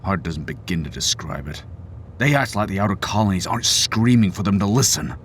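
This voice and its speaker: A man's voice: deep voice, expressive, fairly quick, slight background noise. An actor playing a stern, bitter middle-aged father in an audio drama, brooding, clipped and authoritarian. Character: stern, embittered, domineering father and political activist. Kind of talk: scripted audio drama acting.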